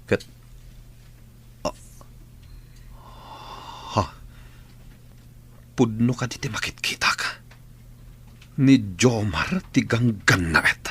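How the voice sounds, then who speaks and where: A man with an Ilocano accent playing the gruff, bossy leader of an armed village gang in a theatrical radio drama. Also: fairly low pitch, slow, long pauses, fairly bright tone, exaggerated voice. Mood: furtive narrating to himself.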